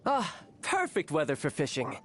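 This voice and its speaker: A man's voice: on the high side, wildly swooping pitch, moderate pace. A man playing a cocky, cheerful young warrior in a video game, with a playful, confident, theatrical delivery. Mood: musing. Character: cocky, cheerful young swordsman who relishes battle.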